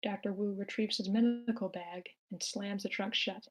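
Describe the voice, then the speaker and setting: Casting: female narrator with a medium-pitched voice, reading scripted scene descriptions. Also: steady tone, moderate pace, faint music behind. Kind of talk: reading screenplay stage directions aloud.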